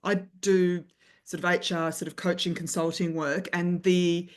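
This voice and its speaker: A woman's voice: on the low side, flat delivery, measured pace. A woman, an HR coaching consultant, introducing herself on a video call with light jokes and hesitations. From Australia.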